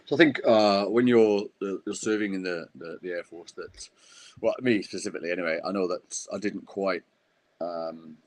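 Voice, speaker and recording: A man answering podcast interview questions as a guest, speaking haltingly with many fillers and restarts. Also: low voice. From United Kingdom. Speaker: guest, wheelchair rugby player.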